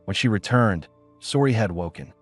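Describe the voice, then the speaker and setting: Low-pitched man narrating, lively intonation. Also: moderate pace, breathy edge, soft background music. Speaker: audiobook narrator. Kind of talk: scripted dramatic narration of a sci-fi story.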